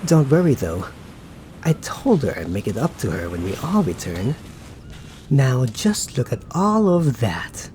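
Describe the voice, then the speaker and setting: A man playing a suave, teasing, laid-back young knight in an ASMR roleplay, talking to the listener in an unhurried, musing way. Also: medium pitch, sing-song, darkish tone, slight background noise. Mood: musing, fond.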